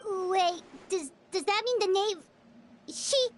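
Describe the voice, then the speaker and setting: A woman voicing a tiny, childlike, excitable fairy companion in a video game with a squeaky, naive voice. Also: takes it slowly, slight background noise. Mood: worried. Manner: talks in third person, naive.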